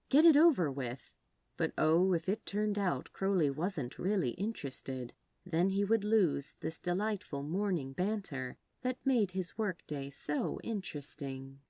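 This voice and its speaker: A woman reads fiction aloud as narrator. Her voice is low and moves through animated rises and falls. The sound is dry and free of room echo. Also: measured pace. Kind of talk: expressive scripted fiction narration.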